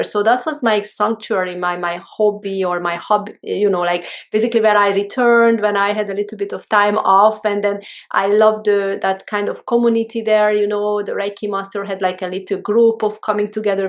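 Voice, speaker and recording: Hungarian-accented middle-aged woman, halting speech. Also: medium pitch. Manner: halting, with many restarts and fillers. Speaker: guest, holistic mentor. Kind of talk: casual interview talk.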